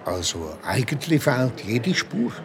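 A grandfatherly sidekick in a children's detective radio play, cautious and grumbling. His pitch swings widely as he hesitates and objects. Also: very animated, unhurried, creaky, over loud music. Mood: puzzled.

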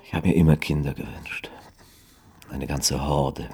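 An actor playing a timid, guilt-ridden young man in love in a radio crime drama, halting and earnest, with a husky voice. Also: extremely low pitch, level tone, exaggerated voice. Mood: sad, wistful. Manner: hesitant, halting, soft-hearted.

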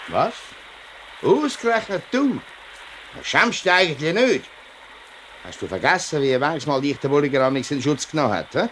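Animated, straight-talking Swiss union man. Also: a little high, normal speed, long pauses, low-quality recording. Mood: reproachful. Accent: Swiss German. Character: upright, forthright male union man, worker's leader. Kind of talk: 1950s radio play acting. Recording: old radio recording with background noise.

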